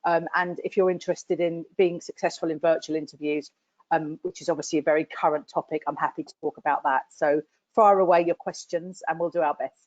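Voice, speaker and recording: A woman's voice: low voice, fairly even intonation, a little fast, no room sound. A woman from the UK who recruits graduates, introducing herself on an online panel with confident, fluent speech. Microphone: video call. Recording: dry, dull video call audio.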